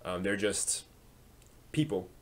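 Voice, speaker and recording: A man's voice: deep voice, steady tone, slow pace. A man hosting a live stream, talking casually and unscripted to viewers, often thinking aloud in a hesitant, rambling way.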